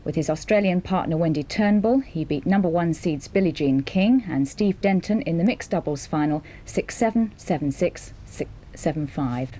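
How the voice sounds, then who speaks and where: A British woman reading a scripted news bulletin in a formal, measured manner. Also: lowish voice, expressive, mellow. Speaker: television newsreader.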